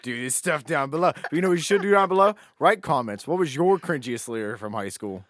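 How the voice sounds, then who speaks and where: A man's voice: on the high side, animated, a little fast, fairly bright tone, no room sound. A young man co-hosting a podcast in casual banter, rambling and swearing freely. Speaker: podcast co-host.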